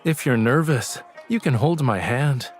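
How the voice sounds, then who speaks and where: A man playing a gentle, romantic young suitor with a poetic, courtly manner in a scripted audio drama. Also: medium pitch, lively intonation, unhurried, lightly acted. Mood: tender. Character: tender, playful, romantic young man wooing his beloved. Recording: music behind the voice.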